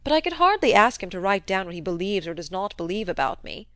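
Resentful young gentleman, stiff and proper, dry close sound, read by a woman. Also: mid-range voice, sing-song, fast, no room sound. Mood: sulky. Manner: stiffly polite, subdued. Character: sulky polite young gentleman.

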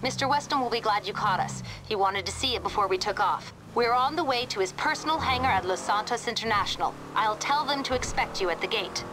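An actress playing a brisk, formal corporate assistant in a video game, polite and businesslike. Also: female voice, mid-range voice, lively intonation, music in the background, close to an everyday voice, low-quality recording. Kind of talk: video game character acting.

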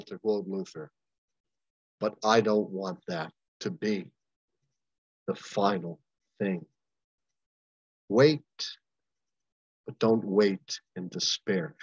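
An older man, a pastor leading a Bible study over a video call, preaching with emphatic, impassioned delivery and long pauses. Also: lowish voice, fairly bright tone, breathy edge, no room sound. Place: home room, indoors. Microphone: webcam on a video call. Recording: dull lo-fi webcam audio, dry.

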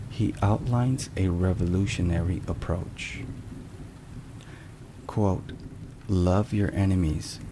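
Man, low pitch, unhurried pace, hushed ASMR delivery, scripted devotional narration, measured. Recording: steady rain and thunder noise. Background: rain and thunderstorm sounds.

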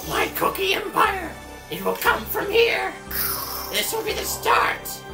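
A man's voice: very high voice, huge pitch swings, slow, creaky, roomy sound, over music, exaggerated voice. A man voicing a boastful, murderous cartoon bird racer, making grandiose and menacing declarations in a comedic fandub. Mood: grandiose, excited.

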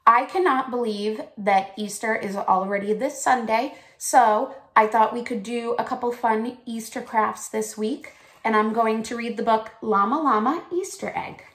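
A woman in her thirties, speaking deliberately as a teacher presenting read-alouds and crafts for children, recorded in a small room at home. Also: fairly high pitch.

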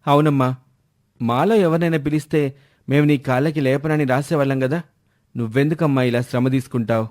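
A man's voice: medium pitch, fairly even intonation, a little fast, a little mellow, lightly acted. A man voicing an eager, ambitious young married son in a moral story, earnest and wheedling. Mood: agreeable. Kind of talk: animated moral story dialogue.

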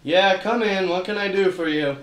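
A man's voice: high voice, normal speed, noisy background. Wisecracking detective man, echoey room. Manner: wisecracking, hard-boiled narration. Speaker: detective narrator. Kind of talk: church radio play acting.

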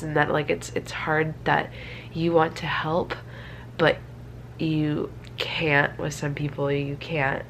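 A woman in her twenties with a stuffy, congested nose, chatting casually and in a rambling, self-deprecating way to camera. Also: deep voice. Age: 20s. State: congested from a cold. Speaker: vlogger. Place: small bedroom indoors. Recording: faint background room noise.

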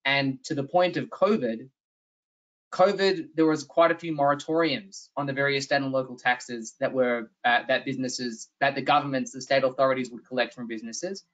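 A man's voice: medium pitch, steady tone, moderate pace, fairly bright tone, recorded from a distance. Australian man presenting a webinar. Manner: earnest, explanatory, with frequent fillers and restarts. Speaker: tax consultant presenter. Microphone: video call. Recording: slightly dull video-call audio.

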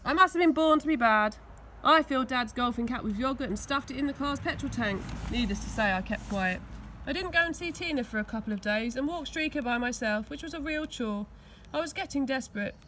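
English woman, children's story reading, high voice, expressive, outdoor recording, faint background noise. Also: a little fast. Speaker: school teaching assistant reading aloud. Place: outdoors on grass.

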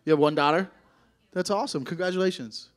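High-pitched brash male stand-up comic. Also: quick pace. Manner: brash, profane, teasing. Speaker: stand-up comedian. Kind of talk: stand-up crowd work. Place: comedy club stage before a seated audience. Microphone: handheld microphone.

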